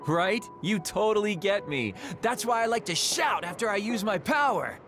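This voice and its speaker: A man's voice: high-pitched, lively intonation, normal speed, a bit bright, faint music behind. A man voicing a flippant, shady lone wolf in a video game, with a wry and breezy manner. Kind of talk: English video game voice acting.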